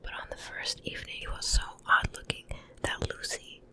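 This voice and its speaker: A woman speaks entirely in a soft, breathy whisper. She has the gentle, hushed delivery of an ASMR bedtime reader. The close stereo mic places her voice right at the listener's ears. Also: moderate pace. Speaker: ASMR host reading a bedtime story. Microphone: close stereo mic, ear to ear.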